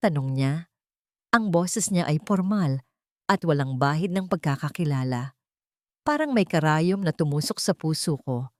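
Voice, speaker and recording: A woman reads a scripted dramatic story in the first person. Her voice sits fairly low and is recorded very dry. She is solemn, setting out short phrases with deliberation. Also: animated, a little slow, pauses often, no echo. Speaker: narrator.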